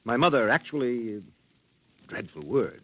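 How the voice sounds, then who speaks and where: An actor in a 1950s radio drama playing a bitter, educated outcast man with a sardonic, measured and articulate manner. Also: mid-range voice, big pitch swings, dry recording. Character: wry, bitter genetically imperfect man, educated outcast specimen. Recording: dull vintage 1950s radio recording.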